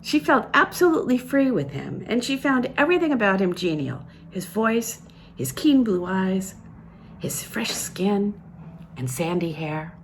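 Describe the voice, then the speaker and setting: Expressive woman reading fiction, very lively pitch. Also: a little slow, slight background noise. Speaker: audiobook reader. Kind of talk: literary fiction read aloud.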